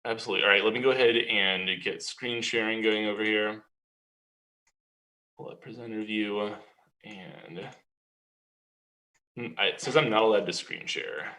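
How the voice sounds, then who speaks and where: Bright-toned young man presenting. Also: lowish voice, fairly even intonation, moderate pace, long pauses, low-quality recording. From Central Illinois. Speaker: graduate student team lead. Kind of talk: presentation on a video call. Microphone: video call.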